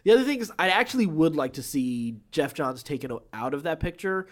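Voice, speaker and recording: A man's voice: fairly high pitch, lively intonation, a little fast. A man co-hosting a podcast, chatting casually about film news. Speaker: podcast co-host.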